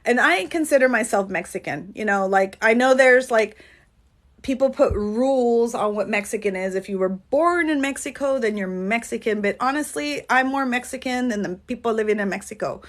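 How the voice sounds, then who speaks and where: A woman's voice: fairly high pitch, normal speed. A California-born woman chatting on a livestream as a dance choreographer and coach, rambling and earnest, with lots of "um" and "like". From California, USA. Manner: rambling, earnest, heavy on fillers like "um" and "like". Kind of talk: casual livestream chat. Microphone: phone livestream.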